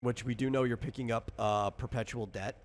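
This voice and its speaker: A man with an average-pitched voice talks as a game master would, casually walking a player through tabletop rules. His explanations are patient and ramble a little, moving step by step through the details. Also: fairly even intonation, fairly quick. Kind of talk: casual explanatory chat during character creation.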